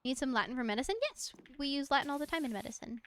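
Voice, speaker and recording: A woman's voice: sits high, rapid-fire, fairly bright tone. A young woman streaming a game from home, chatting casually in a rambling, giggly way and talking to objects in the game. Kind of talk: casual chat while gaming.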